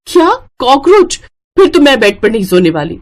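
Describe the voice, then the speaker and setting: A woman's voice: fairly high pitch, sing-song, moderate pace, pitched above the performer's own voice. A woman voicing a proud, fussy young bride in a family story, questioning and indignant.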